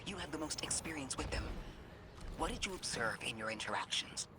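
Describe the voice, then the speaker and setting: A man's voice: a little high, sing-song, brisk. A man playing an analytical mission companion in a video game, speaking in a formal, serious way. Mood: serious, inquiring. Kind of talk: video game dialogue acting. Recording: loud vehicle-like noise behind.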